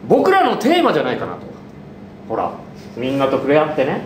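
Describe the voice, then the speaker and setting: A man's voice: huge pitch swings, fairly quick. A young man making a casual announcement as co-presenter of a live show, bantering in a jokey, mock-cocky way. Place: small indoor studio. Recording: echoey room, hissy low-quality web stream.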